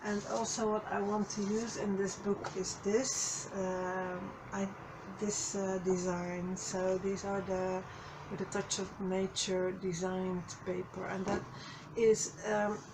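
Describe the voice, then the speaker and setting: A woman with a slightly hoarse voice, hosting a casual craft tutorial over her desk, slow and halting, with many fillers and restarts. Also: mid-range voice, level tone, noisy background. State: a little hoarse. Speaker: craft channel host. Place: craft desk, overhead camera.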